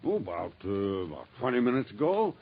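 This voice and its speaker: A man's voice: fairly low pitch, big pitch swings, fairly quick, slightly rough, heavily acted. A man playing a flustered charity Santa in a 1940s radio drama, stammering and restarting. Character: nervous older man, street-corner Santa. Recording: muffled old radio recording.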